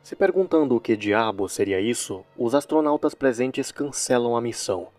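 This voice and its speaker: A man's voice: mid-range voice, expressive, moderate pace, a little mellow, dry recording. A Brazilian man narrating a story recap in a casual commentary style, with wry, joking asides. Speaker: narrator of a horror series recap video.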